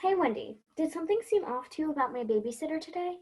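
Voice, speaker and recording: A woman's voice: high-pitched, animated, fast, darkish tone. A woman playing Sam, an earnest, curious little girl asking questions, in an amateur stage play. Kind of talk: amateur theatre acting.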